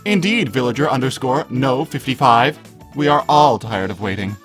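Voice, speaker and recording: A man voicing a gruff, aloof hedgehog in a cartoon fan dub, with dry, formal, grudging delivery. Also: mid-range voice, moderate pace, close to an everyday voice. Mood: deadpan, reluctant. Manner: deadpan, formal phrasing. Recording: background music under voice.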